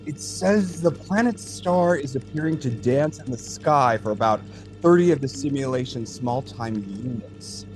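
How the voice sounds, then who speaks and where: A man plays a seasoned alien technician in an audio drama. He is patient and thoughtful, explaining in a measured way at a middle pitch, with music faintly underneath. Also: animated, natural voice, poor audio. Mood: matter-of-fact, explaining. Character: experienced alien data center technician, male, patient, thoughtful.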